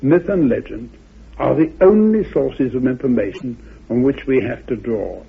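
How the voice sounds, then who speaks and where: A man giving reflective spoken commentary in a measured, deliberate way, phrase by phrase. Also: mid-range voice, lively intonation, creaky, husky. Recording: phone-like, muffled old radio recording.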